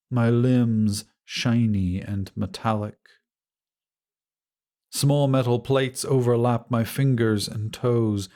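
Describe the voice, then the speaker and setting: Slow, mellow male audiobook narrator. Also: medium pitch. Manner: measured, dramatic, solemn delivery. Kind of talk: scripted first-person fiction narration.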